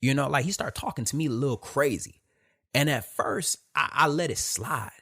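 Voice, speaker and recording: A young man from Detroit with a soft voice, hosting a casual podcast monologue, repeating phrases and swearing as he tells a story. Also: mid-range voice, moderate pace, slightly rough. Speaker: podcast host.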